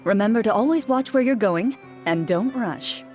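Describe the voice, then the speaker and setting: A woman voicing a composed, serious, courteous young woman in a video game, measured and formal. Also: fairly high pitch, expressive, darkish tone, close to an everyday voice. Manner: measured, formal, matter-of-fact. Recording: music bed behind the voice.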